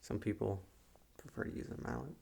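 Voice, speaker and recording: A man acts out a sinister male psychiatrist for an ASMR roleplay. His voice is low and very creaky, with a husky, breathy edge. He speaks in a measured, clinical way, hesitating softly as if to soothe a patient. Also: full character voice. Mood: quietly intent.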